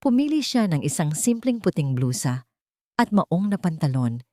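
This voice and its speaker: A woman's voice: on the low side, sing-song, moderate pace, mellow, no room sound, clean studio sound. A woman reading a scripted dramatic story as its narrator.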